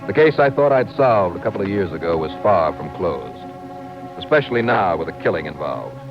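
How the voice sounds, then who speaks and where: An American man playing a cool, confident insurance investigator in a radio detective drama, with a brisk, wry hardboiled delivery. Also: deep voice, big pitch swings. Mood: wry, narrating. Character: cool, confident male insurance investigator narrating his own cases. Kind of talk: 1950s-60s radio detective acting. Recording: muffled old radio broadcast with music.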